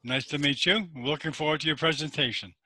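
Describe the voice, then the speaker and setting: High bright-voiced guy hosting, says welcome a lot. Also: brisk, low-quality recording. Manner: friendly, repeats short words. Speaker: host of a neurosurgery webinar. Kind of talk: casual chat.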